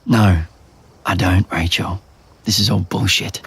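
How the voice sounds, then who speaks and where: A man's voice: low-pitched, fairly even intonation, measured pace, vocal fry, slight background noise. An actor playing a tired, guarded young man with an Australian accent in a naturalistic audio drama. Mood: weary, dismissive. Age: young adult. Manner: dry, sarcastic, defensive.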